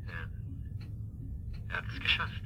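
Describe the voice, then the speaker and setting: An actor playing a scheming, chummy club friend in a German radio play, speaking in a conspiratorial, insinuating way. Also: male voice, a little slow, pushed and bright, slight background noise.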